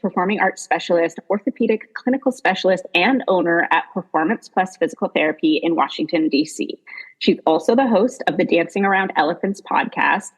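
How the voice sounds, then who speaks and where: A woman speaks as the host of an interview podcast. Her voice sits a little low and has a husky edge. She introduces and questions with an enthusiastic manner. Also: poor audio.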